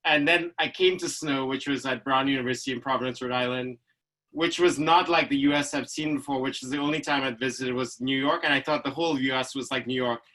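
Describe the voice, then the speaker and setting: Trinidadian-accented male doctor, middle-aged, bright tone, chatty anecdotal delivery. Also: mid-range voice, fairly even intonation, a little fast, poor audio. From Trinidad and Tobago. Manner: chatty, storytelling with fillers like "like" and "you know". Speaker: infectious disease physician guest. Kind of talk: relaxed anecdotal conversation.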